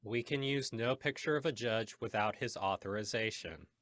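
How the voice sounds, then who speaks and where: A man's voice: fairly low pitch, normal speed, fairly bright tone, no echo, studio quality, close to an everyday voice. A man playing an offhand but firm newspaper boss in an old-time radio drama. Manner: offhand, authoritative.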